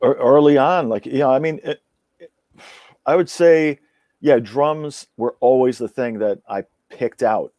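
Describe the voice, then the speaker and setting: A man's voice: medium pitch, measured pace, darkish tone, dry recording, clean studio sound. A man talking casually as a guest over a video call, rambling with many fillers and restarts. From born in Iran, raised in England and the US. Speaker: guest musician. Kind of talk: casual interview chat.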